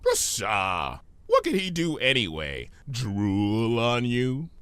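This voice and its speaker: A man voices a mischievous storybook trickster spirit. He is smug and breezy, drawling dismissively with slow, stretched phrases and a very animated pitch. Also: clean tone, no echo, exaggerated voice. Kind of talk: dramatic storybook reading.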